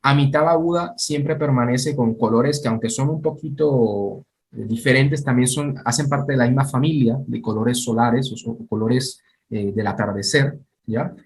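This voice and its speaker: A man's voice: mid-range voice, normal speed, darkish tone. A man in a mid-range voice explains things patiently, step by step. He often stops to check that his listener is following. He sounds like a teacher talking with a student over a video call. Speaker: Buddhist teacher.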